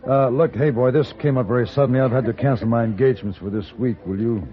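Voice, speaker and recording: An actor playing a cool, commanding gentleman gun-for-hire in an old radio western, terse, dry and self-assured. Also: mid-range voice, steady tone, fast talker, lightly acted. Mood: brisk, wry. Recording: muffled old radio broadcast with music.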